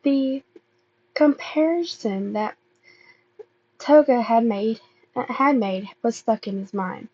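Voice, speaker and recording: A woman reading fan fiction aloud as an amateur narrator, halting and often stumbling over and repeating words. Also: a little high, warm tone, highs cut off. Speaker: amateur podfic reader.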